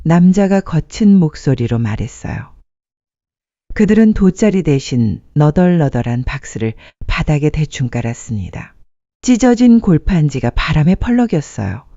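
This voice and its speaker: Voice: mid-range voice, big pitch swings, moderate pace, mellow, no room sound. A narrator reading a scripted dramatic story as a storyteller. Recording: muffled dry studio sound.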